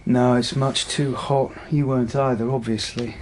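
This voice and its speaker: An actor playing a matter-of-fact, world-weary police detective in a naturalistic radio drama, casual and pragmatic. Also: male voice, level tone, moderate pace, noisy background, lightly acted. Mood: tired, low-key. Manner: casual, pragmatic, low-key.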